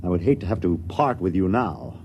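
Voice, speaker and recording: A pompous, obedient young master in a vintage radio drama. His voice is lowish and his speech stiff and formal, with faint noise from the old recording. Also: very animated, fairly quick, gravelly, lo-fi sound, heavily acted. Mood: lofty, stern. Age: young adult. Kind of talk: 1950s radio drama acting.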